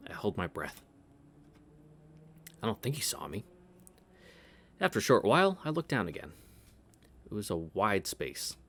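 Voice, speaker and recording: Deep-voiced man reading a visual novel. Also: wildly swooping pitch, a little slow, gravelly, pauses often, fairly bright tone. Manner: reads narration, breaks off into casual asides. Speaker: let's-play host.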